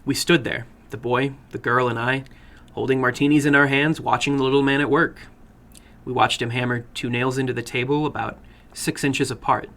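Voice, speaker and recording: A man narrating a short horror story dramatically, with a theatrical, deliberate delivery. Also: medium pitch, a bit bright. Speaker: storyteller, horror fiction broadcast host.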